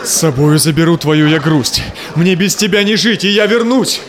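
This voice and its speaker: A man's voice: fairly high pitch, moderate pace, very noisy, natural voice. An actor playing a devoted young man parting from his beloved, earnest and declamatory in verse. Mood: sorrowful devotion. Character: devoted young man in love, departing sorrowfully. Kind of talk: theatrical verse drama acting.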